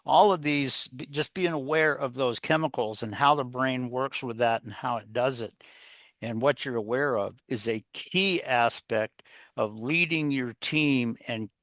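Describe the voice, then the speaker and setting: A man's voice: medium pitch, a bit bright, no echo, studio quality. A man giving conversational advice as an interview guest, rambling, circling back over phrases and posing rhetorical questions. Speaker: interview guest, leadership author and coach.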